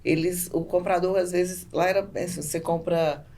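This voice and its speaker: Brazilian businesswoman, slightly low voice, faint noise. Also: steady tone, moderate pace, mellow. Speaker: podcast guest, business owner. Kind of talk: casual interview answers.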